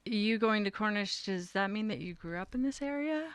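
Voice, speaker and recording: A woman's voice: mid-range voice, animated, moderate pace, clear, resonant tone, no room sound. A woman hosting a podcast interview, asking curious follow-up questions. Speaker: podcast host. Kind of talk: casual interview.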